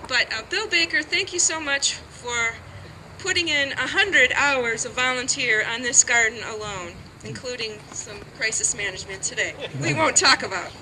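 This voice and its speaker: A woman's voice: a little high, normal speed, background noise. A woman giving an upbeat, chatty introduction outdoors at a garden ceremony. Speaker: event organizer introducing speakers.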